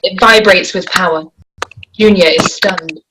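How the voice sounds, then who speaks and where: A woman's voice: fairly low pitch, unhurried. Woman narrator, faint background noise. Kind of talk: scripted stage directions at a table read.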